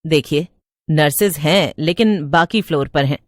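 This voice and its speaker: A woman voicing a matter-of-fact hospital receptionist in an animated story, explaining things plainly. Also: low voice, measured pace, no room sound, clean studio sound. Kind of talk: cartoon story dialogue.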